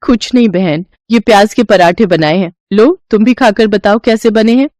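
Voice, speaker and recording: A woman's voice: mid-range voice, normal speed, a little mellow, no room sound, natural voice. A woman voicing a poor, pregnant young widow in a cartoon story, speaking in an earnest, pleading way.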